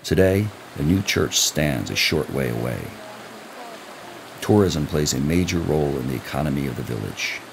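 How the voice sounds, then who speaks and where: A man reading scripted travel documentary narration in a measured, even way, recorded as a studio voice-over. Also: very deep voice, vocal fry, a little hiss or hum, low-quality recording. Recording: narration over loud music.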